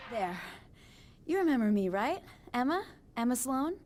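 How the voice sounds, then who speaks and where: A woman's voice: a little high, very animated, measured pace, darkish tone. An actress playing an ordinary, friendly but uneasy young woman in a video game, talking casually and a little hesitantly. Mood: friendly, puzzled. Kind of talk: naturalistic video game dialogue.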